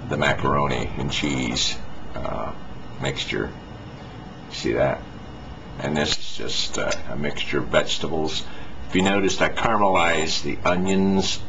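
A man's voice: very deep voice, gravelly, noisy background. A man, chatting to the camera in a folksy, chuckling way from his small home kitchen. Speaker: home cook vlogger. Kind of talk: casual chat to camera.

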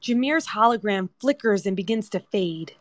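A woman's voice: medium pitch, lively intonation, normal speed, dry recording, clean studio sound. A woman narrator reading screenplay directions as scripted narration. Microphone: remote audio chat app.

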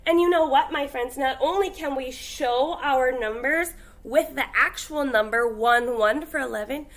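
Young female kindergarten teacher, high-pitched and slow, animated delivery for little kids. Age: young adult. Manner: encouraging, animated, addressing small children. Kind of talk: children's lesson to camera. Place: indoor classroom.

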